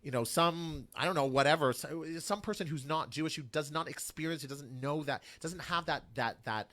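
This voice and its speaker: A man's voice: a little high, normal speed, bright, forceful tone. A man speaking as a guest on a radio talk show, arguing passionately and repeating phrases for emphasis.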